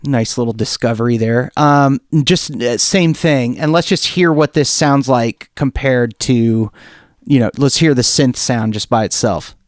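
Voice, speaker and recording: Man, medium pitch, informal tutorial delivery, thinking aloud, dry sound. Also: measured pace, no echo. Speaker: music producer demonstrating software. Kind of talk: casual software tutorial.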